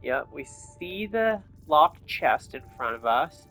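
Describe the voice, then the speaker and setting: A man's voice: a little high, big pitch swings, takes it slowly, pushed and bright. A man commentating casually on his own video game playthrough, muttering and thinking aloud to himself. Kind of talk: casual let's-play commentary. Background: video game music and sound effects.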